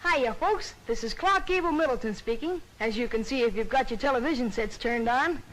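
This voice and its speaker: A man's voice: very high voice, brisk, a bit bright. A young male actor playing a brash, wisecracking small-town American schoolboy in a 1930s film. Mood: cocky, playful. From Indiana, USA. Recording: faintly noisy 1930s film soundtrack.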